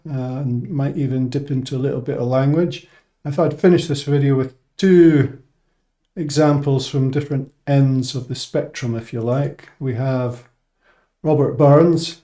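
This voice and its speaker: A man's voice: medium pitch, warm tone. A Scottish man who teaches English and works in science, giving an informal explanatory talk to viewers. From Scotland.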